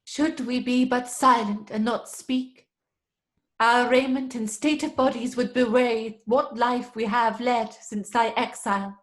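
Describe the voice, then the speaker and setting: Sceptical troupe actress for horror drama, played by a woman: high voice, sharp tongue, brisk dismissive manner. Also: fairly even intonation, natural voice. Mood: reciting. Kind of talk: horror audio drama acting.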